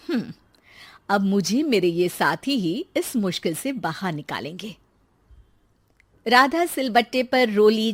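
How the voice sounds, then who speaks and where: Lively woman narrating a cartoon. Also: medium pitch, no room sound. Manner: lively storytelling. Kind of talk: scripted storybook narration.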